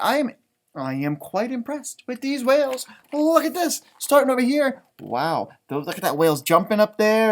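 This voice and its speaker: A man reading a children's picture book aloud in an animated way, stretching out read phrases and adding chatty, exclaiming asides. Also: high voice. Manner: animated, exclaiming, drawn-out words when reading. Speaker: storybook reader, elementary music teacher.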